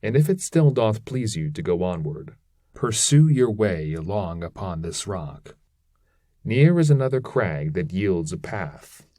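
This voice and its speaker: Guy doing arrogant demon boss bossing devils around, slow n deliberate, dark mellow tone, epic poem. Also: low-pitched, very animated, dry recording, studio quality. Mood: curt, authoritative. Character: arrogant male demon chief ordering his band of devils.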